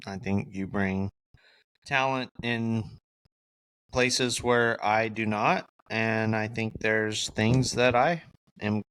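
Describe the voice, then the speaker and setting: Bright-voiced joking young man. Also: lowish voice, slow, clean tone, no room sound, rough recording. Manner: joking, teasing, boastful banter. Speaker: podcast co-host. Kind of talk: casual chat.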